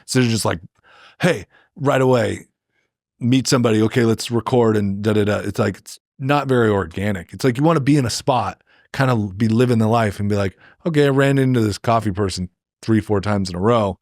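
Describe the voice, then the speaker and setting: Rambling American man with a lowish voice. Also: a little fast, darkish tone, no room sound, clean studio sound. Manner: rambling, filler-heavy, acts out imagined remarks. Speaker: podcast co-host. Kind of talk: casual chat, opinions and anecdotes.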